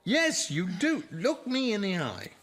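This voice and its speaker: An actor playing a well-off, patronising husband in colonial Calcutta in a radio drama, teasing with fond endearments. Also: male voice, high voice, wildly swooping pitch, unhurried, fairly bright tone, a little hiss or hum, exaggerated voice. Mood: playful, affectionate.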